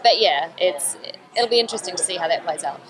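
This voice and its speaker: A woman actor answering press questions in a lively, chatty way, laughing often. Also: fairly low pitch, quick pace, over music, crowd noise, low-quality recording. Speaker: actor being interviewed. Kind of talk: press room interview answers.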